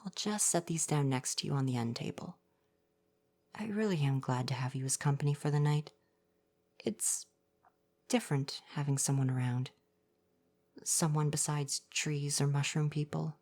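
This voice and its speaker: A man playing a gentle, coy and flirty forest spirit in an ASMR roleplay, speaking softly, at times breathily, in a formal, reassuring way. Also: fairly high pitch, normal speed, pauses often, natural voice. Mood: tender, coy.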